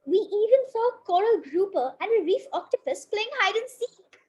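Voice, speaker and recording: A woman's voice: very high-pitched, animated, normal speed, darkish tone. A female reader reading a children's picture book aloud in a careful way, now and then tripping on a word. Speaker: story reader.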